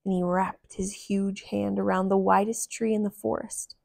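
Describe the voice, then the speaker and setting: A woman reading a children's picture book aloud in a deliberate, expressive storyteller's manner. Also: medium pitch, vocal fry, no echo, clean studio sound.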